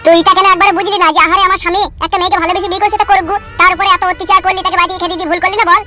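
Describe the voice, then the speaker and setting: Very high, rapid-fire grumpy cartoon husband. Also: male voice, level tone, loud music behind the voice. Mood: resentful. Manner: sarcastic, crude, scolding. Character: grumpy, crude, sarcastic village husband in a comedy cartoon. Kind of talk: comedy cartoon dubbing.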